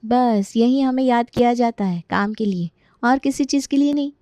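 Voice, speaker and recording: A woman voices a young daughter-in-law in a storybook family drama, with a high voice. She sounds aggrieved and sarcastic, complaining in a dry, close recording. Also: normal speed, dark tone, dry recording, close to an everyday voice. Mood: resentful.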